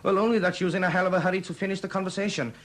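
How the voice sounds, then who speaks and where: Blunt grumbly bloke being questioned, colloquial, old 70s radio play. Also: male voice, high voice, steady tone, very fast, a bit bright. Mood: grumbling, blunt. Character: blunt, grumbling male witness, mildly sweary, plain-spoken. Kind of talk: 1970s radio drama acting. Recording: dated 1970s radio drama recording.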